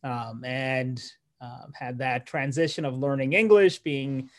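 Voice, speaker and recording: A man in his forties from Malawi, a neurologist guest telling his life story in a relaxed interview, pausing and restarting as he thinks. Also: medium pitch. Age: 40s. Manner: thoughtful, with frequent restarts and light laughs.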